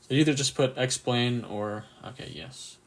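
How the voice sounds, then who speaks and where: A man with a croaky, just-woken-up voice runs a casual live quiz for anatomy students, reading answer options and cheering on viewers' replies. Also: mid-range voice, normal speed. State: just woke up, a bit tired. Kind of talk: casual livestream quiz review.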